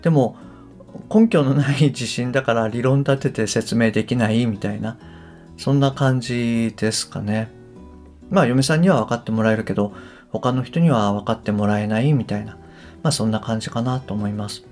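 A man's voice: medium pitch, moderate pace, a little mellow, faint music behind. A middle-aged man talking casually and reflectively on his own podcast, often phrasing his thoughts tentatively. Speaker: podcast host and English coach. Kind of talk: casual solo podcast talk.

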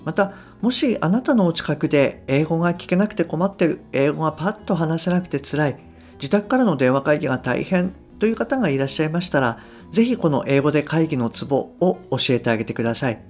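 Unhurried man podcasting over guitar music. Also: fairly high pitch, animated. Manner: unhurried, easygoing, hesitant phrasing with gentle emphasis. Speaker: podcast host and English pronunciation coach. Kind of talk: unscripted solo podcast talk, explaining to the listener.

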